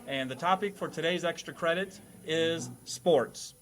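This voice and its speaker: A man's voice: on the high side, unhurried. A man hosting a comedic classroom quiz in a jokey, mock-formal teacher's manner. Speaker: host playing teacher of a comedy panel game.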